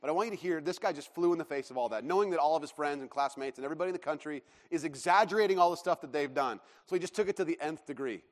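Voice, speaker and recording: Pastor, male, high voice, preaching with enthusiasm and frequent laughter; long hall reverb. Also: racing, few pauses. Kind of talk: sermon.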